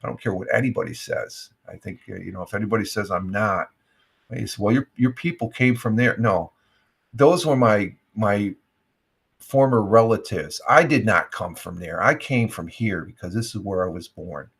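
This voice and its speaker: Gravelly older man rambling on a livestream. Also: fairly low pitch, moderate pace, a bit bright. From United States. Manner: rambling, many fillers and restarts. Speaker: livestream host. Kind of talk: casual livestream chat.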